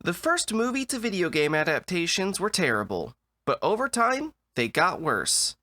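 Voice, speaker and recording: A man delivering scripted comedic video essay narration in a deadpan, sarcastic way. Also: high voice, moderate pace, music in the background.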